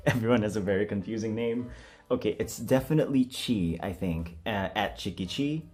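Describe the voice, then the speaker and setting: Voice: medium pitch, moderate pace, a little mellow, faint music behind. A vlogger chatting casually in a self-deprecating, hesitant, giggly manner. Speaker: vlogger doing a voice acting challenge. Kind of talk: casual, chatty vlog talk.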